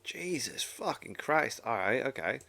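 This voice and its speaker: Male reaction host, gravelly creak, bright forceful tone, casual, blunt, sweary. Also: mid-range voice, big pitch swings, a little slow.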